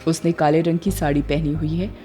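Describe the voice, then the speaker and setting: A woman's voice: low voice, fairly even intonation, normal speed, dark tone, faint music behind. A woman narrating a scripted cartoon story.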